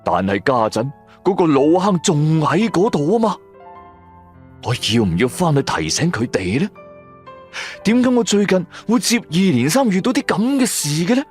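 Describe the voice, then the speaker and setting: A man giving suspenseful, expressive narration of a scripted horror drama. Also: fairly high pitch. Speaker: storyteller narrator.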